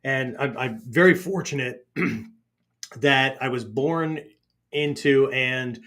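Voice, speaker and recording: Middle-aged pennsylvania guy rambling on his podcast, says right? a lot, mid-pitched voice. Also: takes it slowly, a bit bright. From Pennsylvania, United States. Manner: keeps tagging "right?" and restarting phrases. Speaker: podcast host and martial arts teacher. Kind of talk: rambling casual monologue.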